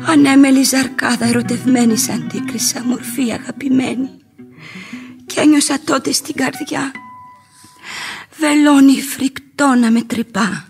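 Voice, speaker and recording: An actress playing a tender, anguished young woman in love, declaiming lyrical verse in a radio play. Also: high-pitched. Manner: declamatory, poetic.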